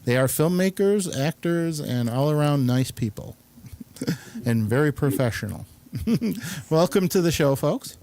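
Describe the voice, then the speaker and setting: A man's voice: a little high, lively intonation, measured pace, darkish tone. A man hosting a talk show, chatting casually with a rambling, informal and complimentary manner. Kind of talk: casual studio chat, introducing guests.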